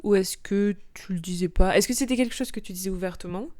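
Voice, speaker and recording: A woman hosting a casual interview, gently curious and asking open follow-up questions. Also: mid-range voice, fast talker. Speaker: host, interviewer.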